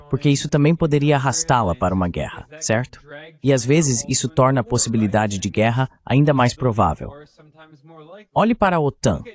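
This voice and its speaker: A man's voice: medium pitch, a little mellow. A man speaking as an analyst in a dubbed political interview, making his points in an emphatic, argumentative way. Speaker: guest geopolitical analyst. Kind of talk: political commentary, dubbed voice-over.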